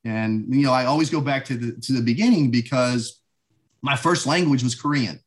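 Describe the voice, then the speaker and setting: A man's voice: medium pitch, moderate pace. A man in his forties from the United States, talking reflectively as an interview guest, with many "you know" fillers. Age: 40s. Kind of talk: casual interview conversation.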